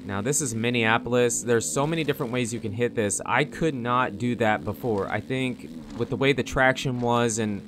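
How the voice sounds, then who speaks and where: Male gaming host, mid-range pitch, casual commentary style, clear game engine noise behind the voice. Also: normal speed, few pauses.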